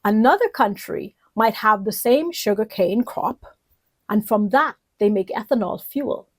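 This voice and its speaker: A woman from the Bahamas giving an instructional lecture in a deliberate, emphatic way, often posing rhetorical questions. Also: medium pitch, expressive, husky, no room sound. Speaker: political leadership lecturer.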